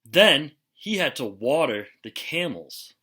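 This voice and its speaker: A man reading a children's picture book aloud expressively, with deliberate, dramatic emphasis and pauses. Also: on the high side, sing-song, sharp tone, breathy edge. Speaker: storybook reader.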